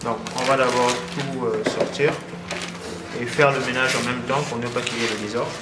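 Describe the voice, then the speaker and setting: A man casually presenting and unboxing a product as a video host, with halting phrasing and frequent filler sounds. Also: medium pitch, little pitch movement, reverberant, heavy background noise.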